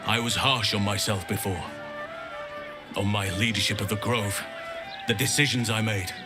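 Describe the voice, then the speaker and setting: Male fantasy game druid actor: lowish pitch, grave, earnest, thoughtful, music underneath. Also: steady tone, natural voice. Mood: self-reproachful. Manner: grave, reflective, measured. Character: gentle, earnest, world-weary adult male druid. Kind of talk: fantasy video game dialogue acting. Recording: loud music behind.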